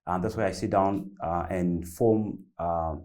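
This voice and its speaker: A young man from South Sudan telling his story on a panel, halting and restarting often with many fillers. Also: low voice, steady tone, a little mellow. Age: young adult. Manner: halting with many repeats and fillers, loose grammar. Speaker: panelist, youth founder. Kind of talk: panel discussion, personal story.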